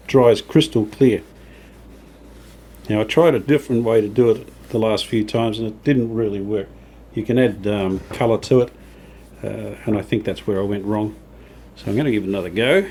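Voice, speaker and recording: A man with a fairly low, soft-edged, mellow voice narrates his workshop job casually as he works. He thinks aloud in an understated, slightly tentative way. There is noticeable background noise, with rubbing and sanding sounds. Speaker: host of a hobby radio restoration channel. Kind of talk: casual hands-on workshop narration while working. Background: rubbing and sanding while working.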